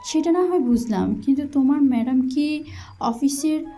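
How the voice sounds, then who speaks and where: A woman's voice: sits high, moderate pace, mellow. A woman playing a suspicious, scolding young newlywed wife with a tender side, in an audio love story. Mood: suspicious, questioning. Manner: pouting, questioning, chiding. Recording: music bed behind the voice.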